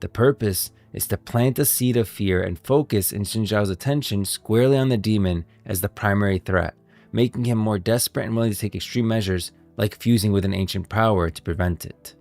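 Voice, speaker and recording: A man's voice: lowish voice, fairly quick, vocal fry, a little mellow, faint music behind. A man hosting a stream of game lore commentary, moving between casual talk and scripted reading. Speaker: streamer, host.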